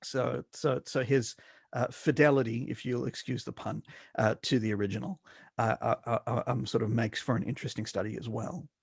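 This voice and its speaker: A man's voice: medium pitch, steady tone, fairly quick, vocal fry, husky, no echo, studio quality. A middle-aged man from South Africa with a mixed, mostly Australian accent, speaking as a guest scholar in an interview, hesitant and full of fillers and restarts. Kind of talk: academic interview.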